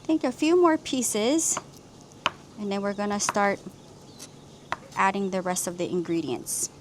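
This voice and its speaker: A woman's voice: mid-range voice, lively intonation, measured pace, noisy background. A woman from Hawaii hosting a cooking vlog, explaining each step of a simple recipe in an upbeat way. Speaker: cooking channel host.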